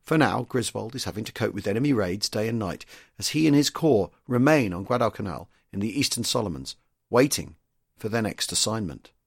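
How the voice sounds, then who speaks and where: A man's voice: fairly low pitch, lively intonation, fairly quick, gravelly, breathy edge, no echo, clean studio sound. A man giving scripted history narration as the narrator of a podcast.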